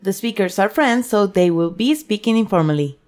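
A woman's voice: mid-range voice, lively intonation, normal speed, noisy background. A woman co-hosting a language lesson, presenting words and example sentences.

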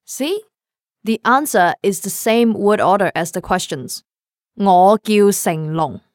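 Female co-host with a Cantonese accent, smooth resonant voice, dry studio sound, careful slow model phrases. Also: medium pitch, lively intonation, no room sound. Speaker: language lesson co-host. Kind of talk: scripted language lesson.

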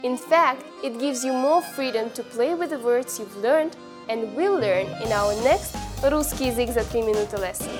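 Higher-voiced woman with a Russian accent. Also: over music. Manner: clear, slowed model pronunciation of phrases. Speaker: language teacher, show host. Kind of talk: scripted language lesson.

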